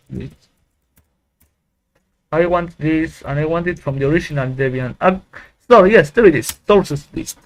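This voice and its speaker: A man giving a casual, rambling software tutorial, joking and humming tunes as he goes. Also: fairly high pitch, poor audio. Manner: playful, rambling, hums and sings tunes while waiting. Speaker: tech YouTuber. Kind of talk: casual screen-recorded tutorial.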